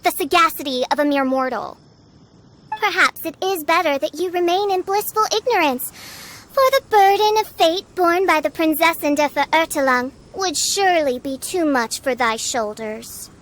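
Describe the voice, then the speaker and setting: A woman's voice: very high voice, normal speed, a bit bright. A woman voicing a haughty, theatrical self-styled young princess in a video game, speaking in grandiose, archaic phrases. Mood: indignant, grandiose. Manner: pompous, archaic, grandiloquent speech. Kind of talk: video game character acting.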